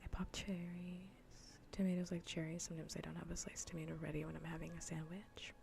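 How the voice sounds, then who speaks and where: A woman streaming in a soft, breathy, often whispered ASMR voice, chatting informally and playfully close to the mic. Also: lowish voice, level tone, brisk, slight background noise. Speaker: streamer hosting a live cozy gaming broadcast. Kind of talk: soft-spoken ASMR casual chat. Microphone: close ASMR microphone.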